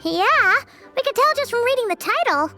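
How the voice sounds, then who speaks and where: Childlike game fairy, extremely high-pitched, bouncy, excitable, faint music. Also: female voice, normal speed, exaggerated voice. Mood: curious. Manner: talks about herself in third person, stammers when startled. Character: tiny chirpy childlike fairy companion, curious and excitable. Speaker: floating fairy companion. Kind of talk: video game voice acting.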